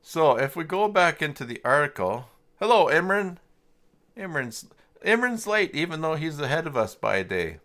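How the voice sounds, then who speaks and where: A man hosting a casual live software tutorial, thinking aloud in an informal, unscripted way as he works through the steps. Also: on the high side, sing-song, normal speed. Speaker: livestream host and software tutor.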